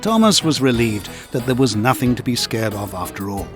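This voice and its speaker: A man narrating a scripted children's story in an expressive, measured storytelling manner. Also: medium pitch, over music.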